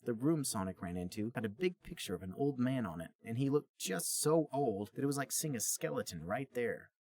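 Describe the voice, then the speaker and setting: A man's voice: medium pitch, lively intonation, brisk, a little mellow. A man co-hosting a podcast, reading a story aloud as narrator and chatting casually between passages.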